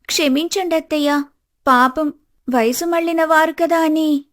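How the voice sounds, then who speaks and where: A woman voicing a clever, outspoken young daughter-in-law in an animated family story, earnest and firm. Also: sits high, a little slow. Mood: polite, sympathetic. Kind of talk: animated family drama dialogue.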